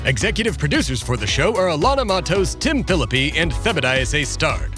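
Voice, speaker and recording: A man's voice: a little high, very animated, fairly quick, music in the background. A man playing a pompous, irritable centuries-old stoner host in a comedy audio drama, with thick-tongued, formal, foul-mouthed speech. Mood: announcing.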